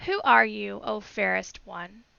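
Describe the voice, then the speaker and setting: A woman's voice: medium pitch, unhurried, creaky, pushed and bright, clean tone, dry recording, lo-fi sound, heavily acted. A woman voicing a gallant, smitten young prince in a fairy tale, courteous and earnest. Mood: rapturous.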